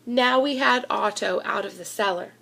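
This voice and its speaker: A woman reading a children's storybook aloud in an expressive narrating style. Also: medium pitch, normal speed, creaky, poor audio.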